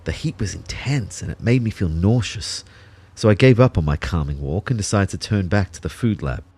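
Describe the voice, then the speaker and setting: A man's voice: fairly low pitch, animated, normal speed, darkish tone, breathy edge, dry recording. An Australian actor playing Kyle, an anxious, self-doubting man telling his own story in an audio drama. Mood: anxious, reflective. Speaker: actor narrating in character. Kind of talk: first-person audio drama narration.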